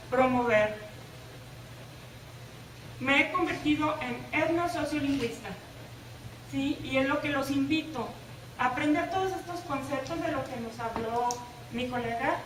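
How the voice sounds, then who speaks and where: Northern Mexican-accented woman presenter, high voice, engaging; echoey room, distant mic. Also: fairly bright tone, a little hiss or hum. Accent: northern Mexican Spanish. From US–Mexico border, Laredo. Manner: engaging, asks the audience questions, tag "¿verdad?". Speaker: presenter at a teacher workshop. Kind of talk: interactive talk to teachers. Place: lecture room.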